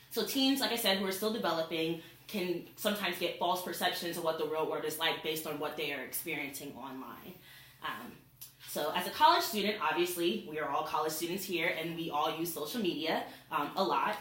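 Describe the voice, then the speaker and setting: A woman's voice: sits low, a bit bright. A young adult woman, a college student, gives an informal persuasive speech to her classmates in a classroom, recorded by a camera across the room. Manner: informal, addresses classmates directly. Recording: echoey classroom.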